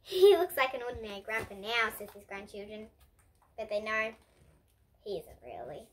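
A young girl reading a picture book aloud for children as an expressive storyteller who now and then stumbles over a word. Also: medium pitch, moderate pace. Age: child, about 8 to 11. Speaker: host and reader of children's bedtime books. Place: indoor room with bookshelves.

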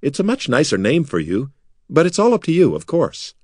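A brisk, kindly woman wizard from a fantasy storybook, played by a male narrator. The pitch is lifted well above a man's talk. She sounds assured and crisp, a little bossy and testy. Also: very animated, a little mellow, dry recording, clean studio sound. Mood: cheerful.

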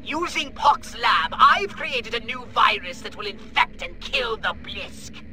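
An actor playing a whiny, excitable alien scientist who is only a floating head, with a reedy, fussy voice in a video game cutscene. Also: male voice, extremely high pitch, measured pace, full character voice. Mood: excited. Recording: game music behind.

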